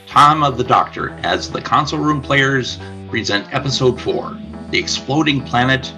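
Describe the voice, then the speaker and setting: A man narrating a scripted radio play as storyteller, with a husky voice. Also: mid-range voice, lively intonation, moderate pace, over music, poor audio.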